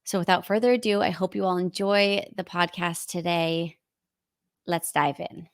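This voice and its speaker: An upbeat woman hosts a podcast and greets her guest with real enthusiasm. Her voice sits low and carries a gravelly fry. The sound is dry and close to the mic. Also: steady tone, normal speed, no room sound. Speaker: podcast host, doula. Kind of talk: podcast interview.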